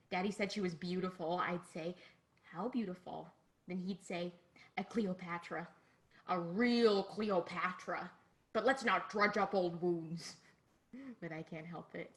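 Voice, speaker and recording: A woman's voice: medium pitch, a bit bright, lightly acted. A woman playing a naive, sheltered young girl in an online play, chatting earnestly as if hosting her own cooking video. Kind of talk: dramatic monologue as online cooking show.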